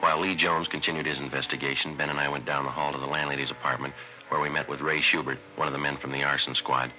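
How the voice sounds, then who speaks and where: Very deep, clipped police detective. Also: male voice, little pitch movement, quick pace, faint music behind. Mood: dry, official. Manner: clipped, matter-of-fact. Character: terse, businesslike male police homicide detective and narrator. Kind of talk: 1950s radio crime drama acting. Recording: noisy, dull vintage radio recording.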